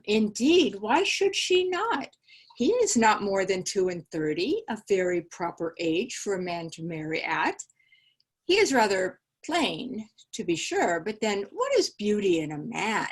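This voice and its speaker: A woman's voice: mid-range voice, husky. A woman reading the part of a sly young woman who talks up a rich suitor, in a dramatic reading over a video call. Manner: slow, wry, persuasive delivery. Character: young woman Georgiana, sly, practical about money.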